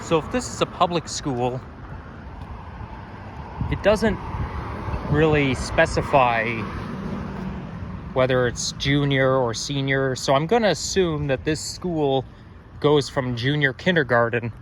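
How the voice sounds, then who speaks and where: A young man from Toronto, slightly hoarse with a frog in his throat, rambling and correcting himself as he narrates a street walk outdoors. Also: mid-range voice, slow, long pauses. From Toronto, Canada. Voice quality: strong, slightly growly. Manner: rambling, self-correcting, musing aloud. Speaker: walking-tour vlogger. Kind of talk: casual walking narration. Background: faint passing traffic.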